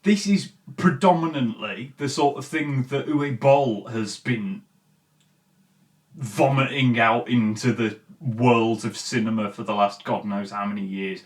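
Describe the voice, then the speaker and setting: A man's voice: mid-range voice, unhurried. Blunt, sweary man giving casual film commentary. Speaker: host of a film commentary show.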